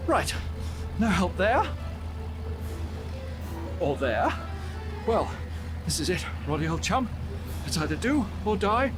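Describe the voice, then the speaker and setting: A man's voice: high voice, big pitch swings, slow pace, pauses often, music in the background, heavily acted. An actor playing a fussy, polite young male rat with a posh British accent in a video game cutscene. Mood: nervous resolve. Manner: polite, prim, theatrical.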